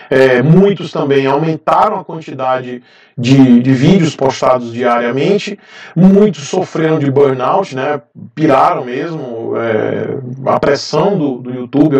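A man in his thirties from Brazil talks straight to camera in a casual vlog. His voice sits a little high, and he rambles through fillers and restarts. The room gives his voice an echo, a bit distant from the mic. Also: darkish tone. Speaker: motovlogger, channel host. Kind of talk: casual talking-head vlog. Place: indoor garage with motorcycles. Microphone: lapel mic.

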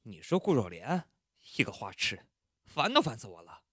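A young man in an audio drama acted by a man, blunt and easily impatient, with a teasing streak. His mid-pitched voice moves up and down with lots of life. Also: big pitch swings, normal speed, sharp tone, slightly rough, no echo, studio quality, exaggerated voice. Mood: irritated. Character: curt, teasing young man, secretly fond of his roommate. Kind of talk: Chinese audio drama acting.

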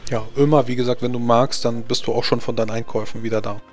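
A man's voice: mid-range voice, steady tone, fast talker, a little mellow, faint music behind. A man improvising narration as the game master of a tabletop role-playing game, hesitantly searching for words.

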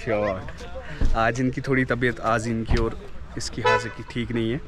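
A man's voice: medium pitch, flat delivery, normal speed. A man in his thirties hosting a casual travel vlog, chatty and upbeat, holding the camera at arm's length outdoors. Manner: upbeat, chatty, keeps saying amazing. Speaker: travel vlogger. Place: outdoors in mountain forest and inside a car. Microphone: handheld camera at arm's length.

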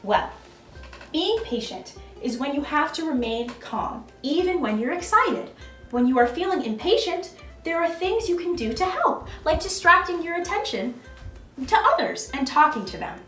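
High, very animated woman reading a storybook. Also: normal speed, faint music behind. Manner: expressive, instructive, addressing small children. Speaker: costumed storytime host reading as a princess. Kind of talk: animated children's storybook narration with questions to viewers. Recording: echoey room.

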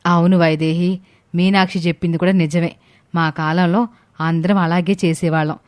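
A woman voicing an older mother-in-law in a family story, mildly giving household instructions in a measured way. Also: lowish voice, level tone, smooth, dry recording, close to an everyday voice. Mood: instructing.

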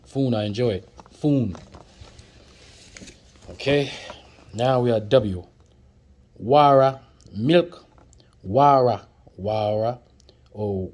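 A man's voice: mid-range voice, a little mellow. A man, chatting through flashcards as he teaches words, repeating each one and joking with viewers. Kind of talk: casual flashcard lesson. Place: indoors at a table, off camera.

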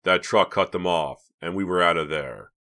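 A brash teenage troublemaker in a thriller storybook, acted by a male narrator. He speaks low, with reckless, cocky swagger and a taste for danger. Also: moderate pace, bright, forceful tone. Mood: triumphant.

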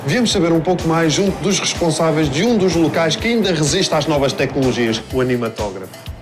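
A man in his thirties with a European Portuguese accent and a fairly high-pitched voice. He reports comedy pieces in a playful, mock-serious way, with music clearly behind him. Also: animated, fast talker. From Alentejo, Portugal. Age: 30s. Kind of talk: humorous street report to camera. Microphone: handheld reporter microphone in most scenes.

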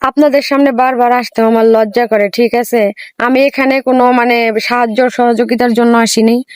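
A woman's voice: fairly high pitch, fairly even intonation, moderate pace, darkish tone, smooth, dry recording. A woman gives earnest, plain-spoken, pleading answers as an interview guest. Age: 20s. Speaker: interview guest seeking marriage.

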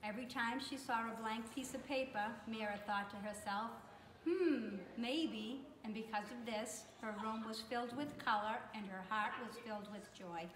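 A woman's voice: fairly high pitch, normal speed. A middle-aged woman reading a children's picture book aloud. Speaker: school staff member reading aloud. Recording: echoey room with faint noise.

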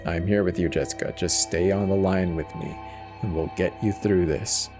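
A low-pitched male emergency dispatcher , played by a man, speaks in a steady, reassuring way. It is a horror audio drama performance, with faint music beneath the voice. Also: moderate pace. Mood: calm, reassuring. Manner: calm, reassuring, soft-spoken urging.

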